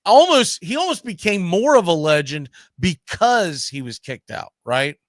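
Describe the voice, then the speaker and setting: Animated high-pitched man debating sports. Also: measured pace, no room sound, clean studio sound. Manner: emphatic, argumentative, uses rhetorical questions. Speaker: sports talk show host.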